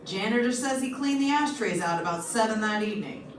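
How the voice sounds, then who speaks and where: A woman's voice: a little high, expressive, fairly quick, a little hiss or hum, natural voice. A woman playing a cautious, matter-of-fact police lieutenant in an old-time radio detective drama. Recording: echoey stage, distant mic.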